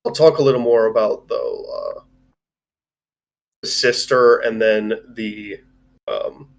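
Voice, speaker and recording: Casual man chatting, rambling and halting. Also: on the low side, steady tone, gravelly. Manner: rambling, halting, often swearing. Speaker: movie review show host. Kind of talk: casual chat, film discussion.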